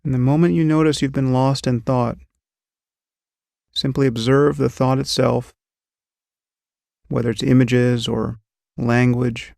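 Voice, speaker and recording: A man calmly guiding a meditation, giving slow, gentle instructions about breath and sensation. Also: medium pitch, creaky, no room sound, clean studio sound. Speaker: meditation guide. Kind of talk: guided meditation.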